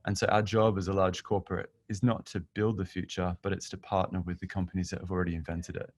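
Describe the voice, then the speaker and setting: A man's voice: low voice, little pitch movement, quick pace. A middle-aged man with an Australian accent, giving an informal presentation as a guest speaker. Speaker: guest speaker, business founder and session lead. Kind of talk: informal presentation telling own career story.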